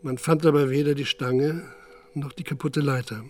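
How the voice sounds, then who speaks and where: An actor playing a sober narrator who recounts a crime in a factual, composed way in a radio drama. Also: male voice, a little high, fairly even intonation, normal speed, darkish tone, lightly acted.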